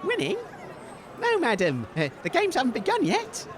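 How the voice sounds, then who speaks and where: A man's voice: very high voice, huge pitch swings, moderate pace, heavily acted. A man playing a hapless, eager radio presenter in a comedy, polite, hesitant and easily flustered. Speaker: radio host. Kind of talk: comedy roving-reporter interviews. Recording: outdoor crowd noise.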